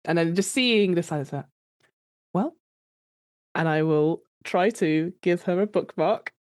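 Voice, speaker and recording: A woman's voice: lowish voice, a little slow, dry recording, clean studio sound. A woman in casual conversation during a tabletop roleplaying game, narrating her character's actions.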